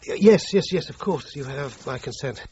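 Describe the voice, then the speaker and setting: An actor playing an anxious, insistent brother in a radio crime drama, stammering and emphatic. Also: male voice, medium pitch, expressive, normal speed, lightly acted. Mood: shocked, flustered. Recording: dull lo-fi audio, loud noise.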